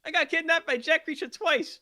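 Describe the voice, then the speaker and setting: A young man in casual podcast chat, speaking in an animated, slangy way. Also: very high-pitched, fairly quick, no echo, studio quality. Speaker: guest streamer and roleplayer.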